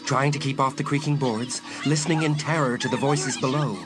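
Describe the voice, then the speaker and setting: A man narrating a suspenseful dramatised audiobook in a measured way with dramatic pauses. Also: medium pitch, fairly even intonation. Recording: loud music behind.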